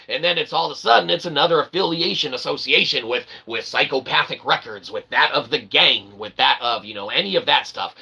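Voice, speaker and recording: A man hosting his own channel, rambling through an opinion monologue with lots of "okay?" and sudden loud outbursts. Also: medium pitch, creaky, breathy edge. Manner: rambling, repeats "okay?" and fillers, bursts of loud excitement. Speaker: channel host.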